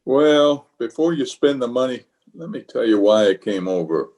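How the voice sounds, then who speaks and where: A man's voice: medium pitch, lively intonation, moderate pace, a little mellow, a little hiss or hum, lightly acted. A man playing a pompous, flustered middle-aged bachelor uncle in an old-time radio comedy, exasperated and hemming and hawing. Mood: nervous hedging.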